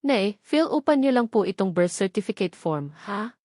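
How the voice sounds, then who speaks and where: A woman with a Filipino accent voicing a brisk, polite hospital nurse in an audiobook story. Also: medium pitch, animated, a little mellow, no room sound, studio quality, close to an everyday voice. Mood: polite, knowing. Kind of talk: audiobook character dialogue.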